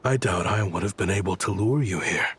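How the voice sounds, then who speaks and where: A man's voice: deep voice, brisk, fairly bright tone, husky, heavily acted. A man voicing a bitter, remorseful weapons researcher in a video game, grim and intense. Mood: cold. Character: bitter, remorseful adult male weapons researcher seeking revenge.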